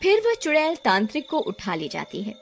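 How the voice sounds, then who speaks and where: A woman's voice: high voice, wildly swooping pitch, normal speed, vocal fry, music in the background. A woman narrating a scripted moral story as a storyteller.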